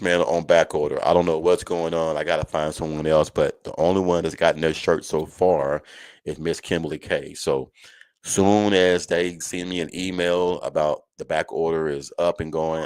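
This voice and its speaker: A man's voice: rumbling low, steady tone, normal speed, clear, resonant tone, no room sound, rough recording. A man hosting a college football show in a casual, folksy, conversational way, speaking to listeners like friends. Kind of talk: casual live sports chat.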